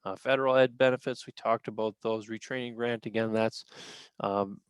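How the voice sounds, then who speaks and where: An American man giving an informal benefits briefing as a presenter, explaining in a halting way with frequent pauses mid-sentence. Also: on the low side, steady tone, clear, resonant tone, dry recording. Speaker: county veterans service officer presenting benefits. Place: virtual briefing, dry-sounding room.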